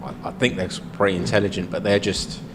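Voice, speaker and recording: A man's voice: low voice, steady tone, quick pace, background noise. An Australian man in a casual discussion, rambling and hesitant, often restarting his sentences. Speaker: podcast co-host. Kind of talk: casual pub-style discussion.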